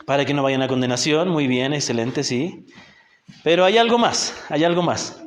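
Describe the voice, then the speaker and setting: High-voiced Chilean man teaching in an echoey church. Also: moderate pace. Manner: patient, asks the group questions, often checks with "¿ya?". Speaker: missionary teacher. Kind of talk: Bible class teaching. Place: church hall in a rural community.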